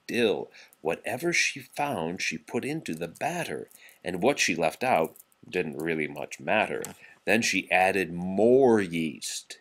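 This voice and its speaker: A man's voice: deep voice, fairly bright tone. A man reading a rhyming children's story aloud, with theatrical, rhythmic phrasing. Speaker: storybook reader. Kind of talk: expressive children's read-aloud of rhyming verse.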